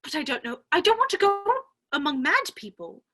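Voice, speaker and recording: A woman's voice: sits high, sing-song, fairly quick, over music. A woman playing a polite, curious, fretful young girl in a dramatic reading of a children's classic, earnest and prim. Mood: questioning, earnest.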